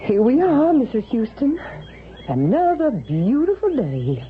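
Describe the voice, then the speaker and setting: An actress playing an attentive, solicitous sanatorium nurse in a 1970s radio drama. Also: female voice, on the high side, huge pitch swings, measured pace. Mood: bright courtesy. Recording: slightly dull, faintly noisy vintage radio.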